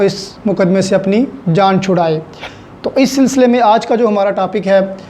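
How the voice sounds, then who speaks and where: Slow-paced Pakistani man lecturing, mellow voice. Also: sits high. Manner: mixes in English legal terms; methodical, explains with examples. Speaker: law lecturer. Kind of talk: explanatory lecture to students.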